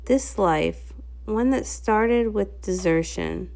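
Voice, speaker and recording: A woman's voice: medium pitch, slow pace, vocal fry. A woman narrating a comic read-along in the first person, with a theatrical, dramatic storytelling style. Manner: theatrical, expressive.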